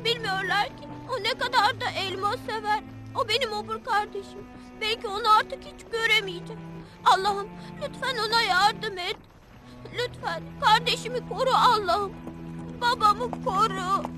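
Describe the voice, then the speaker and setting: A woman voicing a small, innocent boy in a cartoon, with a childlike voice and an earnest, pleading, halting manner. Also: very high-pitched, music in the background. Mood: sad, longing. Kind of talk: cartoon dubbing.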